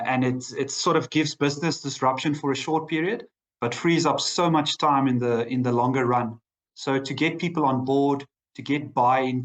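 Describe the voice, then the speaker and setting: A man answering interview questions as a data executive, speaking in a measured, thoughtful way with occasional fillers. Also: mid-range voice. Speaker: guest, head of data.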